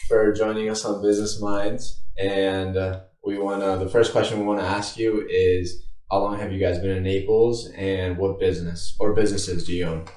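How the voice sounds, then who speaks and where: A man's voice: low voice, little pitch movement, moderate pace, darkish tone, recorded from a distance. A man hosting a business podcast, asking questions and giving brief acknowledgments. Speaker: podcast host, interviewer. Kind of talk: interview questions. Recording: echoey room, off-mic.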